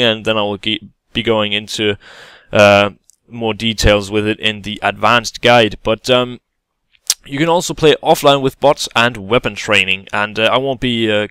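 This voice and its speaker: A man talks through a game tutorial in a relaxed, halting way, often pausing and restarting. His voice is smooth and clear. The recording is dry and close, with no room sound. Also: on the low side, dry recording. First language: Danish. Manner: hesitant, many fillers and restarts, casual explaining. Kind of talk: gameplay tutorial voice-over.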